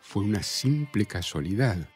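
A man's voice: on the low side, very animated, unhurried, darkish tone, breathy edge, soft background music, heavily acted. A man with an Argentine accent playing a polite, earnest, smitten dinner guest in a radio play. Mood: charmed, flirtatious.

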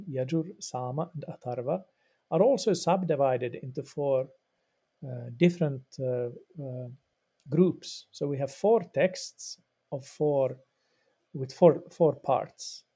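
Gravelly, husky-voiced Finnish man explaining. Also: a little high, slow pace, warm tone. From Finland. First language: Swedish. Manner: thoughtful and explanatory, with frequent repeats and fillers. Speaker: religious studies professor and yoga practitioner. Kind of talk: podcast interview answer.

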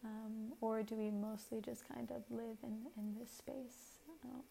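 A woman with a very soft voice giving a gentle, reflective spiritual talk as a host, often pausing with fillers. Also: on the high side, little pitch movement, creaky, slight background noise, low-quality recording. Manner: gentle, hesitant, many fillers.

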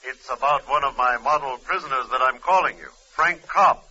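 An actor playing a jovial, insistent prison warden through a telephone filter, in 1940s radio style. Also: male voice, mid-range voice, normal speed, bright, forceful tone. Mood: persuasive. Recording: muffled, filtered old radio phone call.

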